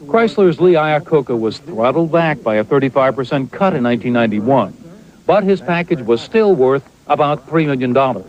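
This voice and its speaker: A man's voice: medium pitch, animated, normal speed, darkish tone. A man narrating a news report with wry, punchy phrasing. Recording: muffled old TV broadcast.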